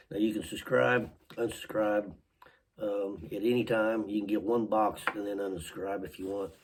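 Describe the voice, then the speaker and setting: A man hosting a casual unboxing vlog while sick with a cold, hoarse, halting, often clearing his throat, with a Southern US accent. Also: medium pitch, a little mellow. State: sick with a cold, voice partly lost. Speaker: channel host.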